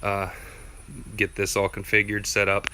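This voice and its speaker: A man's voice: lowish voice, completely flat delivery, measured pace, sharp tone, a little hiss or hum, poor audio. A man giving a casual, unscripted how-to walkthrough in a plainspoken, laid-back way, as a vlogger would. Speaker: vlogger presenting a DIY gear tutorial.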